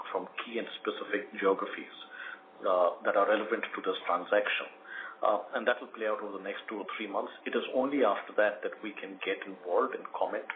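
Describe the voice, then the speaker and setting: A man answering as a company executive on an earnings call, in measured corporate phrasing over a phone line. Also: lowish voice. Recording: tinny phone line with faint noise.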